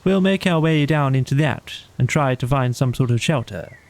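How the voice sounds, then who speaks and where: Man playing a brisk, practical, kindly water rat. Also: mid-range voice, expressive, lightly acted. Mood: determined. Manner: brisk, decisive, colloquial. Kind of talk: storybook character voice.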